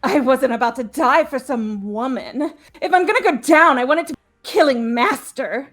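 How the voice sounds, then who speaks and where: Woman doing a high, theatrical killer girl, cheerfully deranged. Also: lively intonation, normal speed, fairly bright tone. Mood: gleeful.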